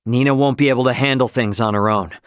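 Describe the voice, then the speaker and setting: A man's voice: mid-range voice, fast talker, clean tone, no room sound, studio quality, close to an everyday voice. A man playing an easygoing, cocky young adventurer hero in a video game, speaking casually and wryly. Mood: earnest. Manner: casual, colloquial, wry. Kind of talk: acted video game dialogue.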